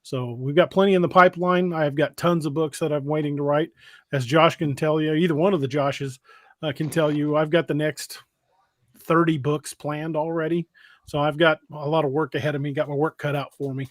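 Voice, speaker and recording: A man's voice: fairly high pitch, steady tone, fairly quick, dry recording. A man hosting a podcast and chatting casually in a rambling, plain-spoken way. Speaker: podcast host and author. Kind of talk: casual livestream chat.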